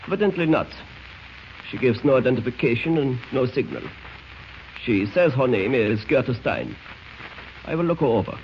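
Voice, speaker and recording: An old, muffled, hissy radio drama recording. A man acts a suave foreign spy chief with courtly, formal phrasing and a pitch that moves up and down a great deal. He is deliberate and quietly menacing. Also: lively intonation, lightly acted. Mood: cool, calculating. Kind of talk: 1940s radio drama acting.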